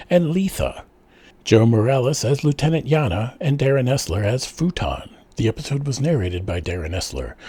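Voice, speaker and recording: A man's voice: medium pitch, a little mellow, breathy edge, no echo. A man reading scripted closing credits for an audio drama as its announcer.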